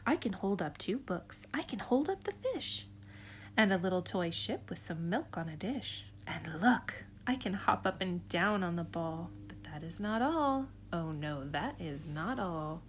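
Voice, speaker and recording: A woman's voice: fairly low pitch, very animated, a little slow, a little hiss or hum. A woman voicing a mischievous male cat who shows off his tricks, boastful and coaxing.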